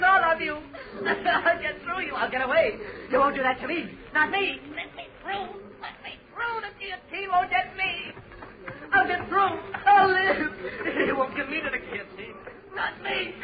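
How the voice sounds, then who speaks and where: An actor playing a frightened man cracking into hysteria in an old radio horror play, agitated and melodramatic, repeating himself in panic. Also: very high voice. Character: frightened, frantic man trapped among ghosts, cracking into hysteria. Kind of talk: 1940s radio horror acting. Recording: muffled, noisy old radio recording.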